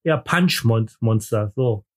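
A man's voice: medium pitch, expressive, slow, a little hiss or hum. A man co-hosting a podcast in casual banter, rambling and joking while drinking, a bit tipsy. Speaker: podcast co-host.

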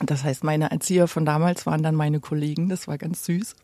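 A woman's voice: low voice, level tone, brisk, mellow, no echo. A woman in her late forties from eastern Germany, telling stories conversationally as an interview guest. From Gera, eastern Germany. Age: late 40s. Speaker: podcast guest. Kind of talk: conversational storytelling about own life.

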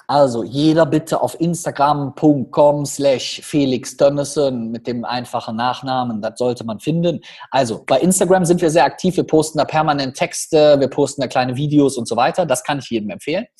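Husky man with a Cologne accent. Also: mid-range voice. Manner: animated storytelling with quick asides. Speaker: podcast guest, public speaker. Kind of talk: casual podcast conversation.

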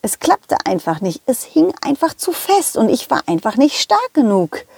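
A woman telling a story to children in the first person, with expressive emphasis and direct address to young listeners. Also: fairly high pitch, normal speed, creaky, breathy edge, dry recording, studio quality.

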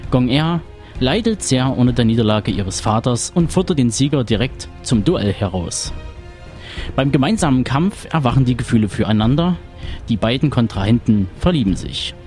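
A man's voice: medium pitch, darkish tone. A man reading a scripted film synopsis as a segment narrator.

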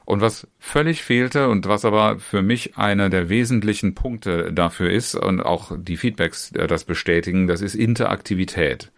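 A man with a very low voice teaches other trainers in an informal talk, addressing the listener directly. His sentences run long and chained together. He often restarts a phrase or fills a pause with a short hesitation sound. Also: gravelly. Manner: speaks in long chained clauses with frequent restarts and fillers. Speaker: trainer and trainer educator. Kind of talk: informal instructional talk on online seminars.

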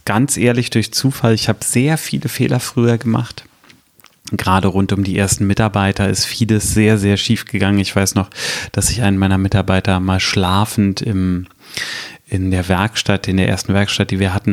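A man in his thirties hosting his own podcast, talking directly to the listener in a reflective, advisory way. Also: on the low side. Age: 30s. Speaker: podcast host, strategy consultant. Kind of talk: solo podcast monologue.

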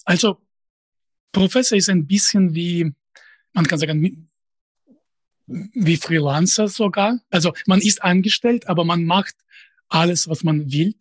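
Husky man with a Russian accent. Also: sits high, a little slow, long pauses, no room sound. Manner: hesitant, restarts phrases, direct. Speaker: professor and YouTuber, interview guest. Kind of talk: conversational interview answers.

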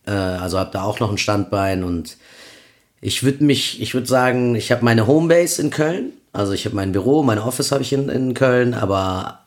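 A man's voice: medium pitch, normal speed. A man in his thirties, born in Peru, talking as a guest on a casual podcast, rambling with many fillers. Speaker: guest, event promoter.

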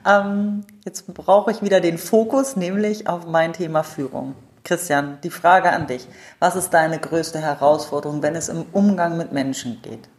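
Female podcast host with lowish voice. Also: steady tone, unhurried, few pauses, a little mellow. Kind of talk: conversational podcast introduction and questions.

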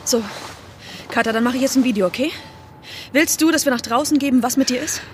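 An actress playing a young, practical activist with a brisk manner in an audio drama. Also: female voice, sits high, a little hiss or hum, natural voice.

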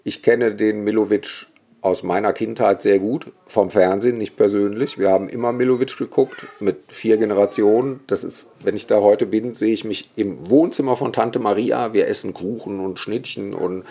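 A man with a gravelly, dark voice. He talks as a guest and historian in a relaxed, storytelling way, vivid and opinionated, with many small asides. His tone stays mellow. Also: lowish voice, normal speed. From Germany, Bergisch Gladbach area. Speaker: guest, historian and cemetery expert.

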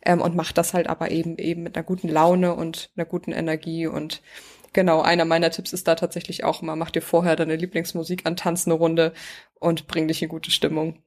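A woman's voice: sits low, monotone, brisk, darkish tone. A woman hosting a podcast, who chats casually and asks her guest questions with plenty of fillers. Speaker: podcast host. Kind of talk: podcast interview.

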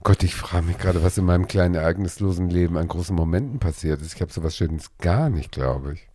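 A man's voice: low-pitched, fast talker, mellow, no room sound. A man in casual chat, wry and self-deprecating.

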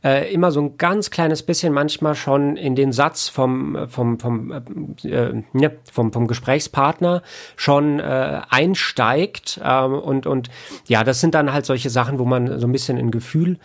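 A man explains his work in a relaxed interview setting. His voice sits at a middle pitch with a clearly creaky, gravelly edge. He speaks haltingly, doubling back on words as he forms each thought. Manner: halting, repeats words and hedges as he thinks. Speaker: audio producer and sound engineer, podcast guest.

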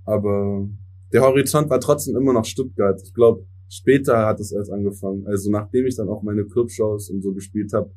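A young man, a native English speaker, giving relaxed interview answers as a musician and mixing English words into his speech. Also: lowish voice, a little fast. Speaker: musician guest.

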